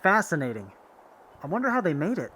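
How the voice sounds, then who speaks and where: A man's voice: a little high, very animated, brisk, sharp tone, slightly rough, exaggerated voice. A man playing a gullible, eager young companion in a post-apocalyptic audio drama, guileless and full of wonder. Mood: curious, naive.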